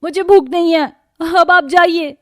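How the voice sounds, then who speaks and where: A woman's voice: extremely high pitch, steady tone, measured pace, no echo, clean studio sound, voice pushed up. A woman voicing a hot-headed, lovestruck young sister-in-law in a cartoon family drama, dropping English phrases into her talk. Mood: irritated, defiant.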